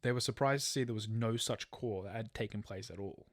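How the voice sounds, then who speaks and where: Man, lowish voice, podcast host, true crime storytelling, very dry recording. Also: brisk, no echo. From Australia.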